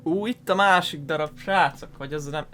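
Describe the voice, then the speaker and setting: A man's voice: fairly high pitch, fairly quick, sharp tone, faint music behind. A man with a Hungarian accent giving casual, rambling let's play commentary, thinking aloud as he plays. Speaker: gaming YouTuber.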